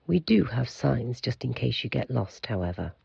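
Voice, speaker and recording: A man playing an aloof, pompous keeper of an institute for monsters in an audio drama, speaking in a formal, measured, curt way with a gravelly, husky voice. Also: medium pitch, very animated, darkish tone, muffled recording, exaggerated voice. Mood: formal, guiding.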